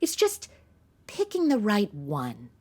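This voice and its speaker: A woman's voice: mid-range voice, huge pitch swings, slow pace, a little mellow, heavily acted. A woman playing a brash, sarcastic modern young woman in a comedy audio drama, full of slang and exclamations. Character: sassy modern young woman, snarky, brash amateur sleuth.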